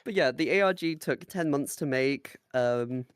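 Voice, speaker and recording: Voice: mid-range voice, a little slow, a little hiss or hum. A giggly, self-mocking podcast co-host who swears casually while chatting.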